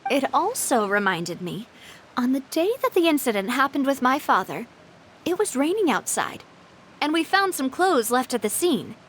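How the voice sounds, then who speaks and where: A woman's voice: sits high, big pitch swings, moderate pace, a bit bright, a little hiss or hum. An actress playing a determined, kind young female boss, composed and articulate, in a video game cutscene. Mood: composed, serious.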